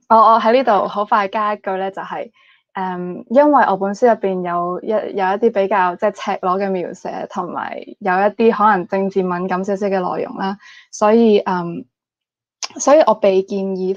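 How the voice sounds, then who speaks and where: Female novelist-moderator, medium pitch, introducing panelists over muffled online audio. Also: no room sound. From Hong Kong. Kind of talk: semi-scripted panel introduction. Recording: dull, lo-fi online call audio.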